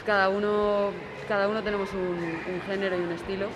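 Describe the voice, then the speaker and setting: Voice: mid-range voice, flat delivery, fast talker, noisy background. A band member describing the group's mixed style, hesitating often. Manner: hesitant, many fillers. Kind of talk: casual interview.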